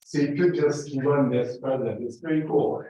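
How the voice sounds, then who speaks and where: A middle-aged man from Palestine with a Palestinian Arabic accent, giving an informal academic talk in a halting, conversational way. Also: medium pitch, steady tone, dark tone. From Gaza, Palestine. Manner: conversational, halting, frequently checks in with "right?" and "like". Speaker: professor, invited speaker. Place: indoor lecture room. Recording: very echoey room, distant muffled mic.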